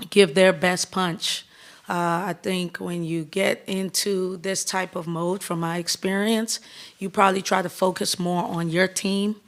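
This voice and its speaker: Woman coach, 30s, lowish voice, upbeat and candid at a press conference. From Bahamas. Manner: upbeat, candid, talks in quick restarting bursts. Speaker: head basketball coach.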